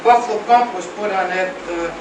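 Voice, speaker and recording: A high-pitched American man reads a prepared history aloud to a meeting. He is heard from a distance in an echoing room, on an old reel-to-reel tape with clear background noise. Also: brisk. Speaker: fire company captain presenting a history. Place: meeting room with a small audience.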